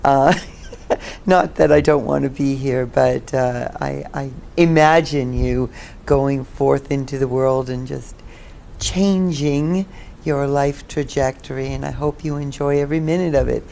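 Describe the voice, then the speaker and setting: Female colleague, gravelly relaxed voice, casual farewell, faint background ambience. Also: rumbling low, measured pace, a little hiss or hum. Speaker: colleague giving a tribute. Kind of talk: casual well-wishing.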